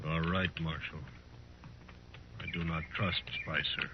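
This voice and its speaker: An actor playing a proud, embittered middle-aged war leader in a 1950s radio western, speaking in formal, measured sentences. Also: male voice, rumbling low, fairly even intonation. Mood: cold, deliberate. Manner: formal, measured speech without contractions. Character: proud, embittered older Cheyenne war leader, grave and fatalistic. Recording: noisy, muffled 1950s radio recording.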